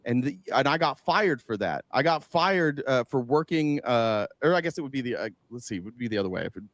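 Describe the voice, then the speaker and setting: A man's voice: mid-range voice, expressive, a little fast, no echo. A man giving casual political commentary, emphatic, throwing out rhetorical questions and swearing freely.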